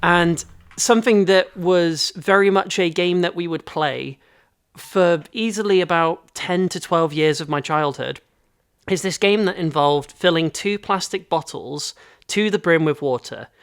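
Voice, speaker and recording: A man's voice: high-pitched, a little slow, a bit bright, clean tone. A man from Belgium chatting casually as a podcast co-host.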